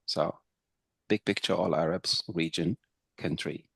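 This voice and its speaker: Man; chatty trainer lecturing a group; low pitch, dry room. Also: fairly even intonation, unhurried, vocal fry, a bit bright, slightly rough, no room sound. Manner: conversational, checks in with "right?". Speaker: intercultural trainer. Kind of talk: workshop lecture with audience.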